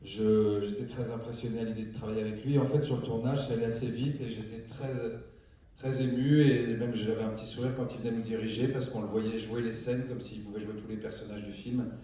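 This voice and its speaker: Fast-talking low-voiced male actor, echoey. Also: steady tone, warm tone, a little hiss or hum. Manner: quick, enthusiastic. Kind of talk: speaking on stage. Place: large cinema hall. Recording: echoey hall, far from mic.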